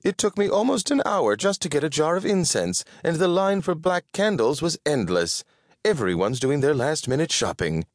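A man voicing a prim, fussy and excitable woman with a proper, exclamatory way of scolding, as in an audiobook. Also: fairly high pitch, normal speed, no echo, clean studio sound, pitched above the performer's own voice. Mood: fussy, insistent.

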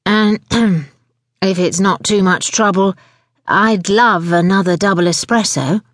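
A woman's voice: mid-range voice, measured pace, dry recording, studio quality, lightly acted. A woman voicing a weary, charming man in an audiobook, with a confiding manner. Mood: wry, kind. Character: gloomy, put-upon, charming man, a television personality.

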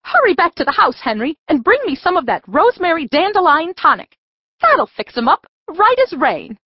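A woman playing a concerned, bossy farmer's wife in a children's audiobook, brisk and folksy. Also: extremely high pitch, big pitch swings, dry recording, muffled recording. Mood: brisk, reassuring. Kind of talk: full-cast children's audiobook acting.

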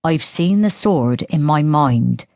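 A woman reading the lines of an earnest young brother, speaking quietly in her own low voice. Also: lively intonation, takes it slowly, no echo, clean studio sound, exaggerated voice.

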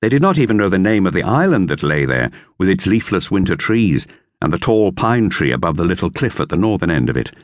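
A man reading a children's novel as an expressive audiobook narrator. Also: low-pitched, fairly quick, no room sound.

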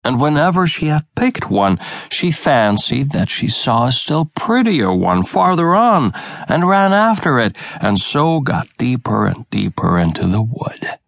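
Male audiobook storyteller for children's tales: unhurried, expressive, creaky-edged, dry sound. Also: medium pitch, dry recording. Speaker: audiobook narrator. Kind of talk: children's fairy-tale narration.

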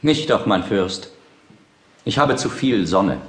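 An actor playing a brooding, bitter young prince in a classical audio drama, speaking tersely and sombrely. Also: male voice, fairly low pitch, expressive, takes it slowly, a bit bright, roomy sound. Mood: sardonic.

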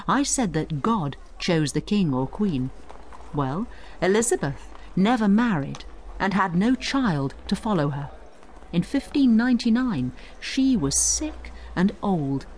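Lively, expressive woman tutor. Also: mid-range voice, slight background noise. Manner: explanatory, emphatic, addresses the listener directly. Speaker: narrator and tutor of an audio study guide. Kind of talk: scripted educational narration.

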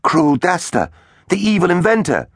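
A man's voice: sits high, sing-song, normal speed, vocal fry, husky, dry recording, clean studio sound, voice pushed up, full character voice. A man playing a brave, cheeky dinosaur captain who is the young-spirited hero of a children's storybook. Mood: shocked disbelief.

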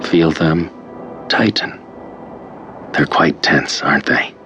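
An extremely deep-voiced hypnotist guides the listener through a tape in an audio drama. He is calm and controlling, with slow, deliberate, soothing phrasing. The sound is dull and lo-fi like a cassette, with music underneath. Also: heavily acted. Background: faint music.

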